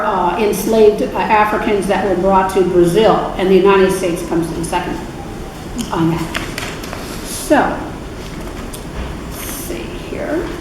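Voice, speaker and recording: A woman giving an informal history talk to an adult church class, unhurried, with many fillers and self-corrections. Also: mid-range voice. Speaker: guest speaker at adult faith class. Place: church meeting room. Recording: very echoey, distant, noisy room recording.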